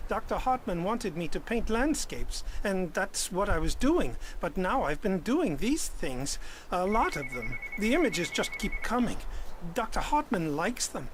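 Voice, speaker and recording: An actor playing a gentle, childlike male painter patient, earnest and dreamy, in a video game. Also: high-pitched, very animated, unhurried, background noise. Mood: eager, dreamy.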